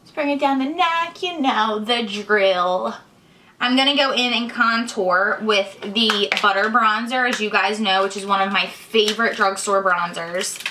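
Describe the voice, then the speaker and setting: A young woman, hoarse and congested, chatting casually while she explains each step of her makeup tutorial. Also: medium pitch, a little slow, noisy background. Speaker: beauty vlogger. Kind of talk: chatty get-ready-with-me tutorial.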